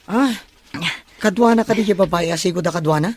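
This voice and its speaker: A woman playing a loyal, helpful young male student in an audio drama, with a gravelly, husky voice. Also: on the low side, brisk, a little mellow. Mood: curious.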